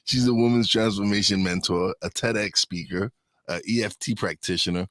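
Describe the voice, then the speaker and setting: A man's voice: fairly low pitch, normal speed, fairly bright tone, no room sound. A man hosting a podcast in a casual, chatty interview style. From New York, USA. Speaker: podcast host.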